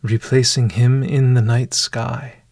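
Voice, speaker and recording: A man narrating a scripted bedtime meditation as a podcast host, in a hushed, deliberate manner with long pauses. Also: medium pitch, level tone, gravelly, no echo.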